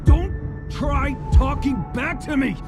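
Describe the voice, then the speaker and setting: A man playing an excitable, stammering guard in a video game, with theatrical delivery. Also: very high voice, takes it slowly, heavily acted. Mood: irritated, scolding. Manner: gushing, stammering, breaking into giggles. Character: overexcited, giddy Bloodhound guard drunk on delight. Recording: music behind the voice. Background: game music.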